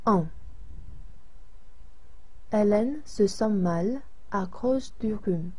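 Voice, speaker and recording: A woman reads out lesson instructions and exercise questions at a very slow, deliberate pace. Her voice is mellow and soft with a breathy quality, and she delivers each line evenly. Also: mid-range voice. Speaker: lesson narrator. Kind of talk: reading a French lesson and exercises.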